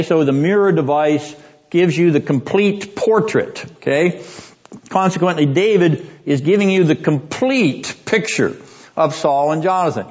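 A man teaching a seminary class on biblical poetry, deliberate and emphatic, repeating his points and often clearing his throat. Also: fairly high pitch, a little mellow. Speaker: seminary lecturer. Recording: dull lo-fi classroom recording.

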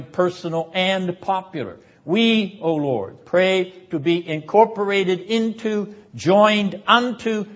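A man delivering a prayerful, rhetorical sermon lecture in a solemn, incantatory manner, with repeated phrases and deliberate pauses. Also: fairly high pitch, muffled recording. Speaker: seminary dean and Bible teacher.

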